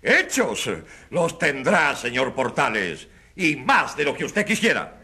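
An actor playing a combative governor defending himself in a radio drama. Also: male voice, a little high, big pitch swings, slow, pushed and bright, husky, heavily acted. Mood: menacing, confident. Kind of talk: dramatic radio play acting.

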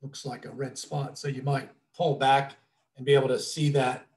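Man, middle-aged, medium pitch, informal instructor, loosely worded explanations, online presentation, indoor video-call audio. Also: little pitch movement, moderate pace, a little mellow. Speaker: drone trainer presenting a webinar.

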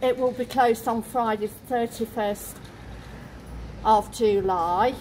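A woman in her thirties films herself on a phone at arm's length as she strolls through town, pausing often on ums and uhs. Her voice sits a little high and she takes her time. Passing traffic and street noise are clearly heard behind her. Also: darkish tone, rough recording. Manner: halting, full of ums and uhs, pointing things out. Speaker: travel vlogger. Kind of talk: walk-and-talk vlog narration. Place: outdoors on city streets. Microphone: phone camera held at arm's length. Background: traffic and street ambience.

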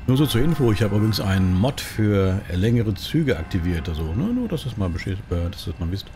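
Lively middle-aged man over truck noise. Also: lowish voice, a little fast. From Germany. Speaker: host of a truck simulator roleplay series. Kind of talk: casual gameplay commentary in character. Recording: clear vehicle noise behind the voice.

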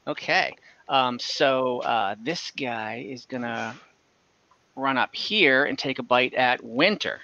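A man's voice: mid-range voice, takes it slowly, sharp tone, no echo. A young man running a tabletop game over a video call, casual and hesitant with many fillers. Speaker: game master. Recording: dull lo-fi call audio.

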